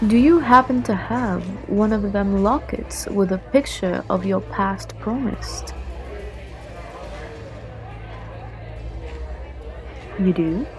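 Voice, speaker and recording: Slow-talking roguish assassin played by a woman. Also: mid-range voice, a little mellow, natural voice. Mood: incredulous, teasing. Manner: teasing, unhurried, coaxing with old-fashioned turns of phrase. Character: roguish, flirtatious female guild assassin in a fantasy tavern. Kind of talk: ASMR fantasy roleplay. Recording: music and tavern chatter behind.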